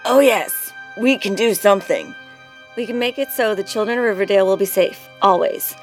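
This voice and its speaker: A woman's voice: mid-range voice, moderate pace, natural voice. Woman playing a sly coaxing witch over music. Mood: assuring. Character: sly witch bargaining for children as tribute. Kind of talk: audio drama acting.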